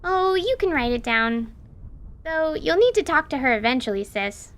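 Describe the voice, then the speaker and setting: A woman's voice: sits high, very animated, normal speed, fairly bright tone. A woman playing a cheerful, chatty, protective big sister in an audio drama. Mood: teasing, reassuring. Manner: casual, chatty.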